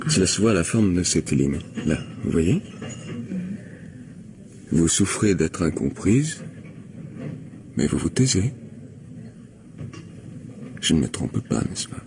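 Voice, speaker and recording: A smooth, insistent palm reader in an old-fashioned radio mystery. His voice sits low and moves expressively as he flatters, pausing as if divining. The recording carries slight noise. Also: heavily acted. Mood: insinuating, tender. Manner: insistent, flattering, hesitant pauses while divining.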